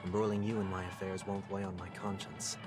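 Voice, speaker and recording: A man's voice: low-pitched, flat delivery, natural voice. A man voicing a composed, courteous and calculating young fugitive in a video game, speaking formally and persuasively. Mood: coolly insistent. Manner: formal, measured, coolly persuasive. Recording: background music under dialogue.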